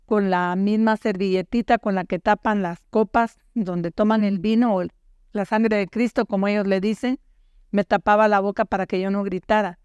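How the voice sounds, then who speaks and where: An older woman tells her own story in a quiet, measured way, sometimes halting. Her voice is smooth and clear and keeps a level, even pitch. The sound is dry, with no room around it. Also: no echo, muffled recording. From Guatemala. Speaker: guest survivor. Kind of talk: personal testimony.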